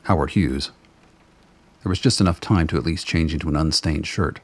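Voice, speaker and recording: A man narrating a scripted radio drama in a measured, wry storytelling manner. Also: very deep voice, slightly rough.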